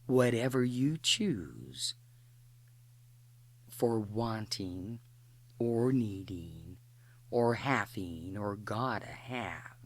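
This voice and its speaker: A guided imagery narrator speaking a slow meditation script in short, deliberate phrases with pauses between them. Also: mid-range voice, lively intonation.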